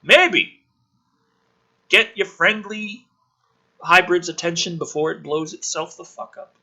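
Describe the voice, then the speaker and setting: A man's voice: high-pitched, slow pace, sharp tone. A man dramatically reading a script aloud as host, with sarcastic, sweary asides thrown in.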